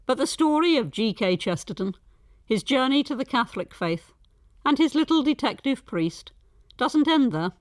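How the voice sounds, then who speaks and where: Lady presenter, scripted narration, measured but melodic, very dry sound. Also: high voice, lively intonation, no echo. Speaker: documentary presenter.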